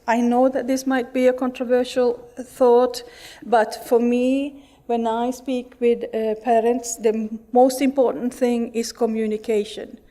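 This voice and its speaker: A woman's voice: fairly high pitch, dark tone. A middle-aged woman from Finland with a Finnish accent, giving an earnest conference talk with many restarts and fillers. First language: Finnish and Swedish. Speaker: conference speaker. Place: conference hall.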